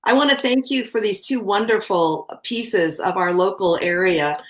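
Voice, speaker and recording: A woman's voice: medium pitch, normal speed. A woman from California speaking casually as she gives thanks on a video call.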